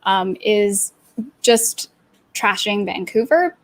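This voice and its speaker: A woman's voice: mid-range voice, lively intonation, takes it slowly. Woman host chatting hesitantly. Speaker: interviewer, talk host. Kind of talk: casual chat.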